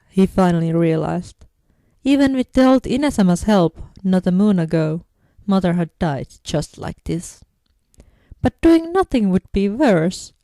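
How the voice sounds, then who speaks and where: A woman narrates a scripted story. Her voice sits a little low and has a dark, mellow tone. The sound is dry and close, with no room around it. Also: no room sound. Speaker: fan fiction audiobook narrator.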